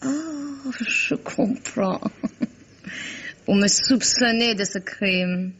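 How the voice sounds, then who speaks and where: A woman's voice: fairly high pitch, animated, drawn-out words, a bit bright, husky, heavily acted. A haughty society woman from Spain in an old radio play. She speaks with theatrical emphasis and pride, and the recording is dull and lo-fi. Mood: haughty, wounded. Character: proud, theatrical Spanish society woman named Carmen, accused. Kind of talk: vintage French radio mystery drama acting.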